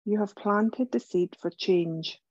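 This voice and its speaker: Very slow, soft-toned woman guiding meditation. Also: mid-range voice, dry recording, dull audio. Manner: gentle, soothing, measured with pauses. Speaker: yoga nidra meditation teacher. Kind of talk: guided relaxation meditation.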